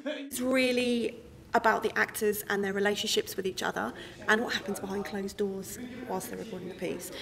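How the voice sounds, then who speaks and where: A woman's voice: medium pitch, fairly even intonation, moderate pace, creaky, a bit bright. A woman giving an explanatory, articulate interview as a member of a theatre company. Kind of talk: interview about a production. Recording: reverberant room, noisy with clicks.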